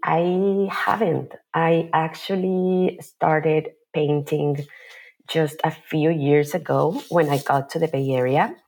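A woman's voice: low-pitched, steady tone, slow, a little mellow. A woman from Mexico City with a Mexican accent, a native Spanish speaker, talking casually and reflectively as an interview guest, thinking aloud as she goes. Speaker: podcast guest, visual artist.

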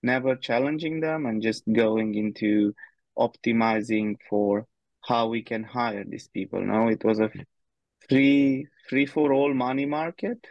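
A man's voice: medium pitch, measured pace, no room sound, dull audio. A man from Romania, answering interview questions in a hesitant, thinking-aloud way with many fillers. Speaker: guest, head of people.

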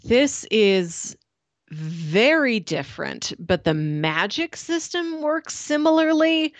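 A woman co-hosting a casual book discussion, speaking in a measured, plain-spoken way. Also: on the high side, very animated, no room sound. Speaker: podcast co-host.

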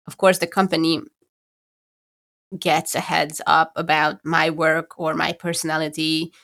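A woman with a Hungarian accent, co-hosting a podcast from home, talking things through thoughtfully and pausing to find her words. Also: sits low, level tone, creaky, fairly bright tone. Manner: thoughtful, searching for words with restarts. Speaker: podcast co-host. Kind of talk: casual conversation.

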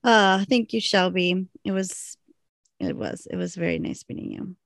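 A woman's voice: lowish voice, huge pitch swings, normal speed, no echo. A woman co-hosting a podcast in casual chat, reading out a listener's email and joking with her co-host. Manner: laid-back, laughing, self-teasing.

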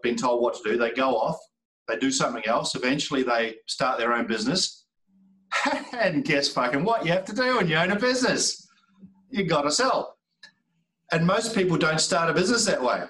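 A man's voice: medium pitch, a bit bright. A man, a sales trainer talking casually as a podcast guest, emphatic and repeating words for effect. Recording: roomy, distant from the mic.

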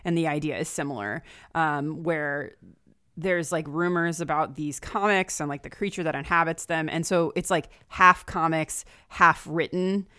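A woman with a slightly low voice joins a podcast as guest co-host. She talks casually about pop culture, friendly and appreciative in her conversational delivery. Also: moderate pace, pushed and bright, smooth.

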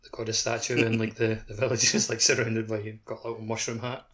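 A man chats as a casual gaming podcast co-host. His voice is fairly low and he talks rapidly. His sentences are loose and full of filler words. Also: completely flat delivery, fairly bright tone. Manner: peppers speech with "like".

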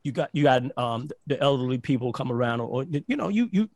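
A man's voice: medium pitch, fast talker, no echo. A man from Barbados, a podcast guest, telling stories in casual chat with lots of restarts and "like". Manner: animated storyteller, repeats words and says "like" often.

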